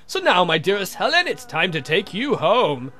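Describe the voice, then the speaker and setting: A man's voice: high-pitched, big pitch swings, moderate pace, sharp tone, full character voice. A man voicing a clumsy, flirtatious vampire count from a game, grumbling and swearing theatrically. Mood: suave flirting.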